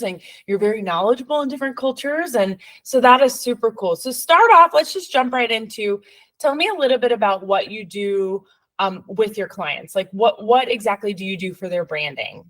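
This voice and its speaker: A woman's voice: a little high, a bit bright. Upbeat English-accented woman hosting. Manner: upbeat, chatty, with many fillers and restarts. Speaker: podcast host. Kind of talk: podcast interview.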